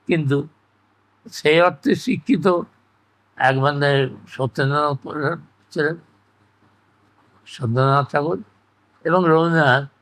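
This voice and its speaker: A man's voice: a little high, fairly bright tone, poor audio. An older man speaks. He talks conversationally as an interviewee, stating firm opinions and posing rhetorical questions as he goes. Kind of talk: conversational oral-history reminiscence.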